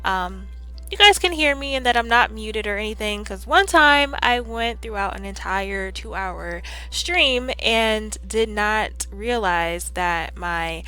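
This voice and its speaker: A young woman chatting casually on a live stream, upbeat and rambling, with a slightly hoarse, scratchy throat. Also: medium pitch, expressive, takes it slowly, sharp tone, faint music behind.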